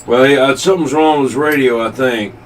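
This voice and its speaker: A man's voice: medium pitch, fairly quick. A man in a rambling, folksy, casual CB radio chat as a hobbyist operator. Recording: noisy room recording, distant from mic.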